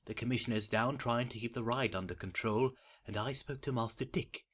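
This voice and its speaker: Man playing a husky, worried old butler. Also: mid-range voice, fairly quick, lightly acted. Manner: formal, deferential, pleading. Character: loyal, worried older male butler. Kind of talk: audio drama acting.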